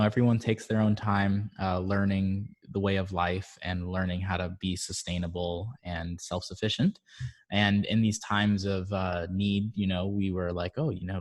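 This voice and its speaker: A man from Barbados chatting on a video call, telling stories in an animated way and jumping to sudden high notes. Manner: animated storytelling with sudden high-pitched outbursts. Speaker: guest. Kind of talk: casual chat.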